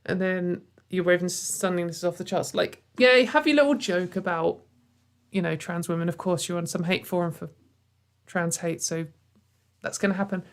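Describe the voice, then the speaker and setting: A woman's voice: lowish voice, moderate pace. A woman hosting a casual commentary video from home, sarcastic and exasperated, with frequent sighs and fillers.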